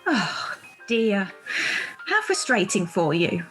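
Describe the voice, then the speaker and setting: Woman acting proper, sympathetic friend, very animated. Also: mid-range voice, slow. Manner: proper, solicitous, emphatic. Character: polite, sympathetic, gently insistent female friend. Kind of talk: radio play acting. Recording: video call recording with music.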